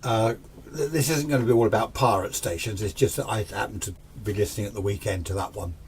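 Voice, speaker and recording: A man's voice: lowish voice, fast, slight background noise. A man in his sixties giving an informal, unscripted explainer as a radio hobbyist, rambling conversationally with little questions to himself. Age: 60s. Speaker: amateur radio hobbyist presenter.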